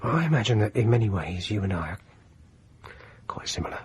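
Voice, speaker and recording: An actor playing a fussy, awkward, solicitous man in a radio drama, with prim, halting politeness. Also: lowish voice, sing-song, husky, full character voice. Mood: insinuating. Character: fussy, awkward, solicitous male music enthusiast with an intrusive streak.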